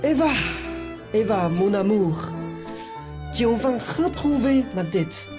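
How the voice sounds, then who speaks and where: A woman's voice: mid-range voice, expressive, takes it slowly, over music. A woman playing a pompous young male thief who poses as a noble suitor in a radio play. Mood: haughty.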